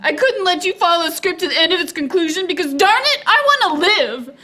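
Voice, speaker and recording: A woman plays a manic, scheming dame in a comic radio play. Her very high, piercing voice swoops wildly with theatrical excitement, over faint music. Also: fairly quick, heavily acted. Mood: desperate, defiant. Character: unhinged scheming femme dame, melodramatic conjoined sister. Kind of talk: broad comedy radio serial acting. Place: theater stage, performed live.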